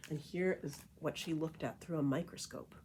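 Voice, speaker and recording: A woman reading a children's picture book aloud as a storyteller, with expressive, deliberate emphasis on key phrases. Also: low voice, background noise.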